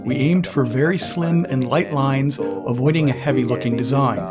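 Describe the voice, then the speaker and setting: A man reading scripted promotional narration as a company representative presenting loudspeakers. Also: mid-range voice, normal speed. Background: background music with guitar.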